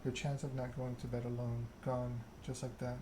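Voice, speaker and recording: A man reading a story aloud in an ASMR narration style, measured and unhurried. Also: medium pitch, completely flat delivery. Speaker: video game streamer reading game text aloud. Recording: noticeable background noise.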